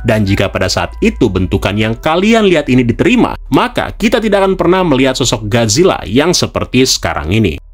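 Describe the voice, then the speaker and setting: A man, narrating a story casually and speaking directly to viewers. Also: medium pitch. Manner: colloquial, chatty, addresses viewers directly. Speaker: video narrator. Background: background music.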